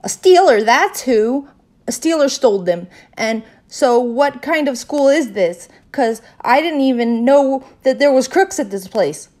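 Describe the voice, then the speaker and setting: Woman voicing a high, dramatic, bossy little girl. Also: a little fast, lightly acted. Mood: upset. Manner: exclaiming, emphatic, repeats herself. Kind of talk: children's audiobook character voice.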